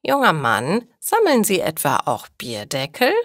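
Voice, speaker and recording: Woman acting elderly neighbour lady, enthusiastic collector, talkative, lively swooping pitch, slow storybook delivery. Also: dry recording, clean studio sound, full character voice. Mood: excited, friendly. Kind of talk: storybook dialogue for language learners.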